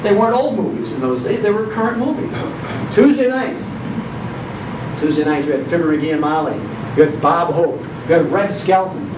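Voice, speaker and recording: Older male speaker, high voice, animated nostalgic storytelling, emphatic repetition, distant muffled recording. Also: moderate pace. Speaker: speaker on old-time radio history. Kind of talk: nostalgic talk to a live audience. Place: indoor program venue, recorded from afar. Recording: dull, distant, echoey hall recording, loud noise.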